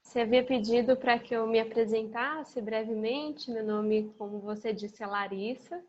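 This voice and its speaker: A woman's voice: fairly high pitch, fairly even intonation, normal speed, a little mellow, a little hiss or hum. A woman giving an informal religious study talk in a didactic, conversational way. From Salvador, Bahia, Brazil. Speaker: guest speaker. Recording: dull lo-fi internet call audio.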